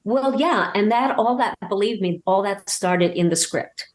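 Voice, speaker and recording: A woman talking casually as an interview guest and telling anecdotes, emphatic and halting, often repeating words as she goes. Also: medium pitch. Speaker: podcast guest, audio drama creator and voice actor.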